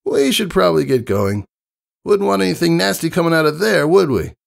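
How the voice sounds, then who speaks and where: Man voicing a high-pitched, cocky young pony girl. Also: lively intonation, brisk, creaky. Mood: playful bravado. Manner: cocky, friendly, casual slangy talk. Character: brash, confident young female pegasus pony, playful mentor. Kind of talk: character voice in fan fiction reading.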